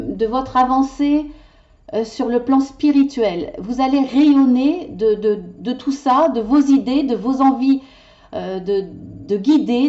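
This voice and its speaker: A woman reading tarot cards in a weekly video, passionate and animated, tripping over her words as her ideas run ahead. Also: a little high, dull audio. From Italian heritage. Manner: animated, talks with her hands. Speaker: tarot card reader.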